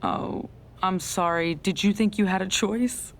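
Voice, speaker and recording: A woman's voice: mid-range voice, measured pace, a little hiss or hum, lightly acted. A woman playing a smug, scheming female wrestling general manager, a villain in a video game cutscene, with a mocking, condescending delivery. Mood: sarcastic, dismissive.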